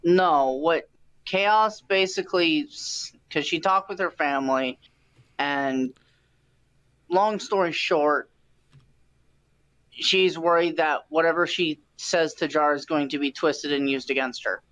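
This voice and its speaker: Male, somewhat high voice, slow, halting, casual call conversation. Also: a bit bright. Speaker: participant in a recorded call.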